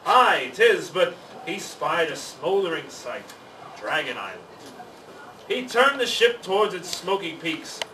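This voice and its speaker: High-pitched man reading a storybook aloud. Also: very animated, a little slow, pushed and bright. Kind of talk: expressive scripted storybook narration. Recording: roomy, distant mic, faint noise.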